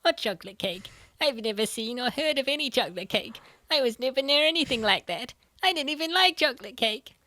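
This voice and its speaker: Woman as nerdy young female gamer, highish voice, earnest, explanatory, comic dub dialogue, dry close recording. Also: lively intonation, a little fast, sharp tone, no room sound. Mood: defensive.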